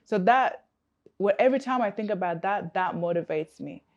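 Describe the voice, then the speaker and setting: A woman's voice: medium pitch, lively intonation, normal speed, lo-fi sound. A woman from Africa, a coach, answering interview questions in an earnest, reflective way with many fillers and restarts.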